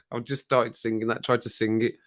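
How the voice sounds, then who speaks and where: A man hosting a casual live stream chat, rambling and laughing often, his voice a little croaky after a cold. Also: mid-range voice, little pitch movement, normal speed, no echo, studio quality.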